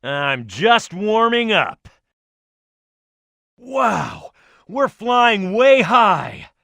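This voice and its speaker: A man's voice: sits high, very animated, very slow, vocal fry, pushed and bright, no echo, studio quality, full character voice. A man playing a tough, gung-ho echidna brawler in a video game, brash and punchy. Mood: gung-ho, excited. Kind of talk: video game in-action voice lines.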